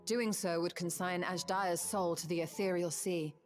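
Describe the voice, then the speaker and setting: A woman playing a composed, resolute sorceress and scholar in a fantasy video game, measured and formal. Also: on the low side, fairly even intonation, natural voice. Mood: grave, analytical. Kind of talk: dramatic video game acting. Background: game music.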